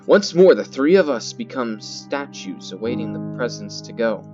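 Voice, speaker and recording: A man reading horror game text aloud in a theatrical, halting way, repeating words and adding his own comments. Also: mid-range voice, big pitch swings. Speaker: gaming video host. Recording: dull lo-fi sound with loud music. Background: loud guitar music.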